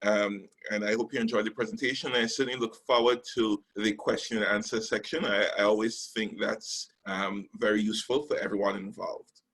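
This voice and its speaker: A man from Trinidad and Tobago, a recruitment specialist, giving a measured webinar presentation with frequent ums and pauses over a call microphone. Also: fairly low pitch, fairly even intonation, a bit bright, slightly rough, rough recording.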